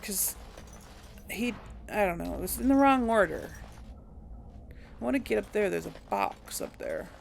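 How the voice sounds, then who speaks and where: Woman let's-play host, lively pitch, unhurried, thinks aloud with chuckles. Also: vocal fry, slight background noise. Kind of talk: casual gameplay commentary.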